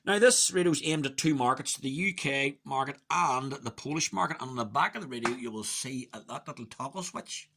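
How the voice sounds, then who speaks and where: A man giving a casual, chatty, opinionated hands-on radio hobby review, muttering to himself at times, in a husky voice. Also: mid-range voice, a little fast, few pauses, a bit bright. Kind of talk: casual hands-on product demonstration.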